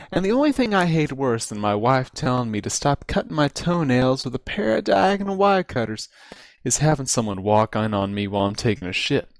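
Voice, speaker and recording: A man giving a dramatic reading of a humorous first-person story, with deliberate, theatrical phrasing and drawn-out emphasis. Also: mid-range voice, no echo. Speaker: amateur reader of a comic story. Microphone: close computer mic on voice chat.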